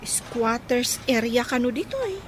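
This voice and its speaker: Woman acting a high-pitched young newcomer. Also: expressive, measured pace, a little hiss or hum. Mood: uneasy, observant. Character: observant young female newcomer from the province. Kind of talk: radio drama dialogue. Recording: prominent music behind dialogue.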